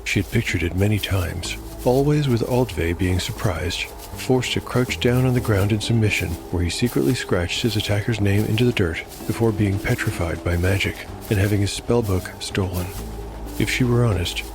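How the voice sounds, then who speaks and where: A man reading scripted dark fantasy narration in a measured storytelling manner. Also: lowish voice, noisy background. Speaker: narrator and host. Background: music.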